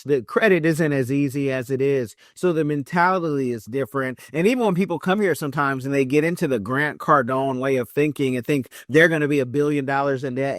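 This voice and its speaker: Higher-voiced man, emphatic podcast host. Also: no echo, studio quality. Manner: emphatic and animated, with joking asides. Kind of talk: casual podcast interview.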